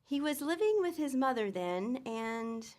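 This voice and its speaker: Sensible wife and mother, played by a woman, high pitch, exasperated. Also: big pitch swings, a little slow, darkish tone. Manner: exasperated, scolding. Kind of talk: comic radio drama acting.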